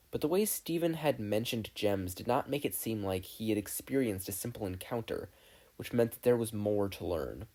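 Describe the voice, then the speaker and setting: A narrator reading a fan fiction story aloud in an expressive, scripted style. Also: medium pitch. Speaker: podfic narrator.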